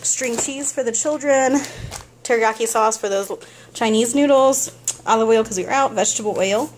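A woman's voice: fairly high pitch, normal speed, a little hiss or hum, low-quality recording. A young woman in her kitchen, chatting casually as she goes through a grocery haul item by item, recorded close on a handheld phone. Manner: chatty, listing items one after another. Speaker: vlogger. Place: home kitchen, indoors.

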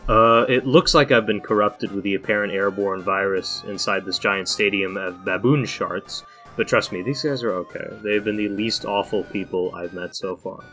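A man voicing a laid-back teenage boy in a cartoon comic dub, deadpan and dryly sarcastic. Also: lowish voice, normal speed, soft background music. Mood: dry, defensive. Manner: deadpan, dry, rambling sarcasm.

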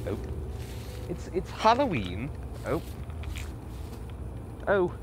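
A man's voice: fairly high pitch, huge pitch swings, extremely slow, music in the background. A man vlogging outdoors in the woods at night on a handheld camera, stammering nervously with repeated words and voice cracks. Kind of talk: mock-scared comedic night vlog.

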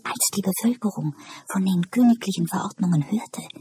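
A narrator voicing a calm, knowing woman asylum patient who muses and explains in an audiobook. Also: mid-range voice, normal speed, a little hiss or hum, lightly acted. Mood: storytelling.

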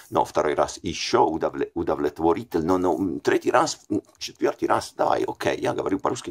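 A man's voice: low voice, slightly rough. A man in his forties with an Italian accent, talking casually to camera and hesitating often with fillers and self-corrections. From Italy. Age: 40s. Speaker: language learner vlogger. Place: small bright room indoors.

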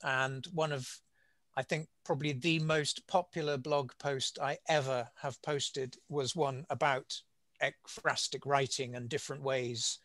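A man's voice: mid-range voice, steady tone, unhurried, fairly bright tone, dry recording. A male poet on a video call, explaining his writing in informal, conversational talk. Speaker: poet answering questions. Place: indoors at home, on a group video call.

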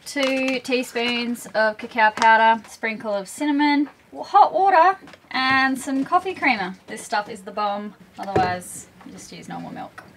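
A woman's voice: a little high, unhurried, fairly bright tone, a little hiss or hum. A woman, casually chatting and narrating a vlog. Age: 20s. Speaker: vlogger, host. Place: on a sailboat and at the beach. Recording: music behind the voice.

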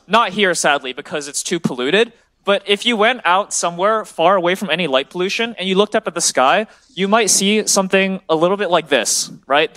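A young male graduate student speaks to the public in a large lecture hall. His voice sits a little high for a man, and his delivery is informal and enthusiastic, like an eager explainer. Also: pushed and bright. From China and the US. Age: 20s. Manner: enthusiastic, checks in with "right?".

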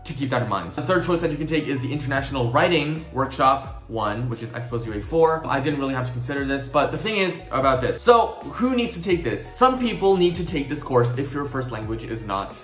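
A man's voice: mid-range voice, lively intonation, fairly quick, hardly any pauses, roomy sound, soft background music. A young man, a student whose first language is Korean, chatting in an upbeat, rambling way while explaining things, with fillers along the way. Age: young adult. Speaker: student vlogger. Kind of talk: casual explainer vlog.

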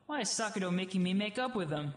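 A woman's voice: medium pitch, lively intonation, fast, natural voice. A woman voicing a sarcastic, brash young dead woman in a fan radio play, snappy and exclaiming. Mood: snarky.

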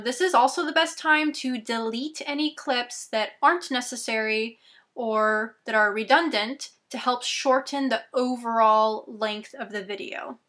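A young woman in her twenties who is losing her voice, walking viewers through video editing steps slowly and clearly from a small bedroom. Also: on the high side, a bit bright. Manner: slow, step-by-step instructions, chatty asides. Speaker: YouTube tutorial host. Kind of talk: screen-recorded software tutorial.

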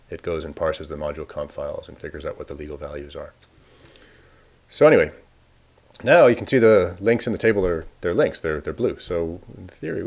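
A man casually talking through a software demo, thinking aloud as he clicks around the screen. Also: low-pitched. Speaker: software developer demoing a tool. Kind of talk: screencast walkthrough.

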